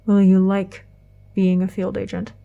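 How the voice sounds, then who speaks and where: A woman playing a blunt, quiet, slightly odd friend in an audio drama, with terse, literal, deadpan delivery. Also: mid-range voice, unhurried, gravelly, highs cut off. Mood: curious, gentle. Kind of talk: naturalistic audio drama acting.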